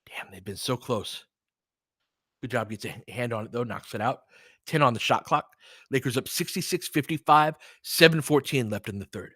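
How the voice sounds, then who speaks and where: A man's voice: medium pitch, normal speed, a bit bright, slightly rough, dry recording. Male fan commentator for live basketball, colloquial and candid, mid-range voice, very dry close-miked sound. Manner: candid, colloquial partisan fan reactions with swearing. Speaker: fan play-by-play commentator and host. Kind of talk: live basketball play-by-play commentary with casual analysis.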